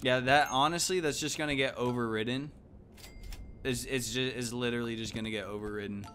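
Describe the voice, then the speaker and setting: A man, giving casual, slangy, animated live game commentary. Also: mid-range voice, a little fast, a little hiss or hum. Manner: animated, exclaiming, thinking aloud. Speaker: game streamer. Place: indoor room at home.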